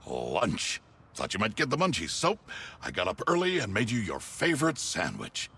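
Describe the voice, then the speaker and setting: An actor playing a gruff, kindly older guardian and playful narrator in a family adventure game, with a wry, hammy delivery. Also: male voice, on the low side, huge pitch swings, normal speed, pushed and bright, exaggerated voice. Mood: wry, fond. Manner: wry, hammy, comically flustered. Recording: music behind.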